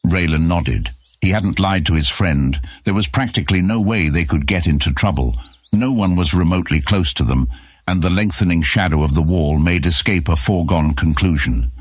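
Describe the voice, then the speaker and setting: A man's voice: low-pitched, normal speed, husky, no room sound. A man narrating a scripted fantasy story as an audiobook narrator.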